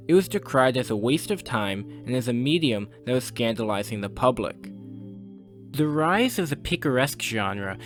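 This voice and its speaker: Lively, opinionated male video essay narrator. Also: mid-range voice. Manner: opinionated, literary, formal wording.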